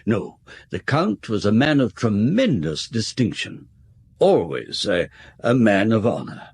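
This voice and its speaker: Old, circumspect family lawyer for radio drama: raspy, lively intonation, stammering, politely formal. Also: male voice, lowish voice, a little slow, full character voice. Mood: discreet, loyal. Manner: hesitant, stammering, formal phrasing. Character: courteous, wistful, circumspect old male solicitor. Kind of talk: British radio drama acting.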